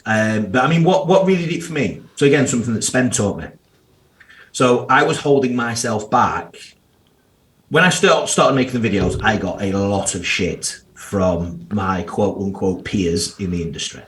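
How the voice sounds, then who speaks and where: A man in his thirties with a broad Yorkshire accent and a fairly low voice. He talks candidly and bluntly, dropping slang and the occasional swear word. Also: a bit bright. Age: 30s. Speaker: guest financial planner. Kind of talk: casual podcast interview.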